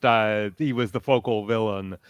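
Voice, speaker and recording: A man's voice: lowish voice, normal speed, smooth, dry recording. A man talking casually as a panelist in a fan discussion.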